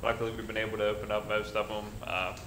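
Middle-aged man, council report, low flat voice, roomy chamber. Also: slight background noise. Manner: plain, halting report with many uhs. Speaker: council member. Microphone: desk mic.

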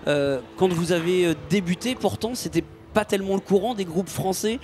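A man's voice: high-pitched, moderate pace, a little mellow. A middle-aged man hosting a festival radio interview, familiar and teasing in his questions. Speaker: radio host. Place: festival radio booth in front of a sponsor backdrop. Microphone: handheld radio mic, wearing headphones.

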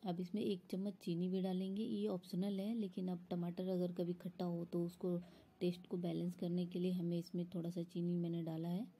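A woman's voice: on the low side, level tone, brisk, dark tone, breathy edge. A woman explaining a recipe step by step in an instructional voice-over, as a home cook. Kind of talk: step-by-step cooking tutorial voice-over.

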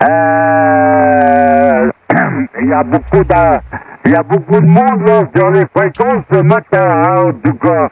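A man from France talking casually and haltingly as an amateur radio operator, through a two-way radio transmission. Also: high voice, very animated, creaky, dry recording. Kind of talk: casual radio equipment report. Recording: muffled dry shortwave radio transmission.

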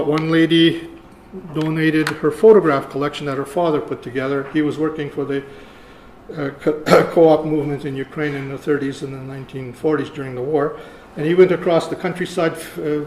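An older man, around seventy, giving a conference lecture in a halting, deliberate way. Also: fairly high pitch, level tone, a little mellow. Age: older, about 70. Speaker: keynote speaker, archivist. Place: lecture room, indoors. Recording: roomy echo, faint room noise.

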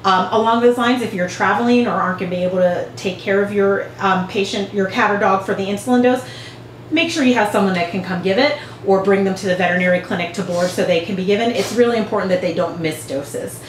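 A woman's voice: medium pitch, fast. Woman vet explaining in an echoey room. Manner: clear, patient explaining with hand gestures. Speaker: veterinarian. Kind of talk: instructional demonstration. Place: veterinary exam room, indoors. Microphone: far camera. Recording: echoey clinic room with faint hum.

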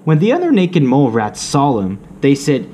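A man reading a children's story aloud with measured, theatrical expression. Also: medium pitch, huge pitch swings, mellow, slight background noise.

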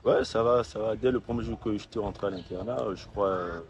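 A young man, a footballer giving quiet, casual interview answers and repeating short phrases. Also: on the low side, a little fast, muffled recording, slight background noise. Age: young adult. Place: outdoors by a hotel pool.

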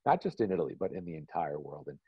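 A lower-pitched man with a husky voice talks through an art history lecture in a loose, conversational way. The room is dry, and the video call audio sounds dull and lo-fi. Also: very animated, fast talker, dry recording. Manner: conversational, many "you know" fillers. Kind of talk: online lecture and Q&A.

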